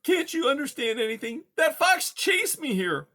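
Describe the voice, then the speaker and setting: A man's voice: extremely high pitch, very animated, normal speed, sharp tone, pitched above the performer's own voice, full character voice. A man voicing a timid, frightened young female mouse who stammers and pleads faintly, in a storybook reading. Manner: stammering, faint, breathless with fear.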